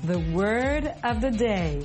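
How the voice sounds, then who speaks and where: Slow, high-voiced female English teacher. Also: expressive, over music. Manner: slow, careful, clear delivery. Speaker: English teacher segment presenter. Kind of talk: scripted language lesson.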